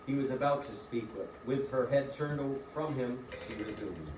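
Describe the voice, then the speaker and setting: A man's voice: mid-range voice, normal speed, background noise. A man narrating a scripted dramatic reading of a classic story as the narrator. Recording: echoey room, distant mic.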